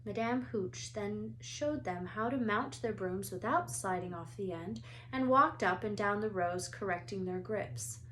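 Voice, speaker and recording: A woman's voice: medium pitch, measured pace, fairly bright tone, poor audio. A woman reading a children's story aloud as narrator, expressively, like a teacher to pupils.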